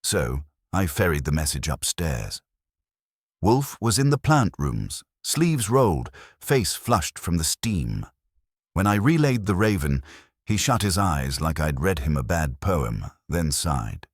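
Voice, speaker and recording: An extremely deep male voice narrates detective fiction in the first person. The delivery is wry and hard-boiled with lively intonation, and the sound is close and dry. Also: slow, dry recording. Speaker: audiobook narrator.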